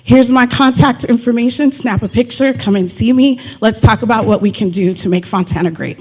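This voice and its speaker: An upbeat speaker at a conference delivers a city pitch with a mid-range voice. The talk leans on rhetorical questions and quick repeated figures. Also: a little mellow, breathy edge. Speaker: city presenter.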